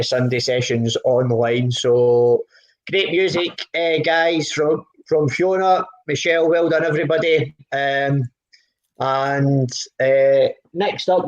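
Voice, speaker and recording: A man's voice: a little high, dull audio. A man hosting an online live music session, chatting casually and rambling with many fillers and restarts.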